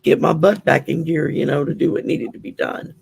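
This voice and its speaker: A man with a Southern US accent and a growly, gravelly voice, chatting openly as a guest on a call. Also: a little high, level tone, a little fast, a little hiss or hum. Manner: chatty, open, storytelling. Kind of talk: casual chat.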